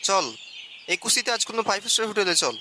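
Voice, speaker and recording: A man voicing an excitable, chatty young news crew sidekick in a scripted cartoon. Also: sits high, fast, a little hiss or hum. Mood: excited, chummy.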